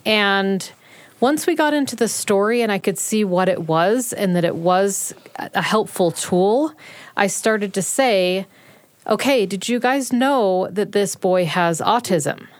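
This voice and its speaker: A woman's voice: mid-range voice, expressive, slow pace, no echo. A woman talking casually as a parent being interviewed in a studio.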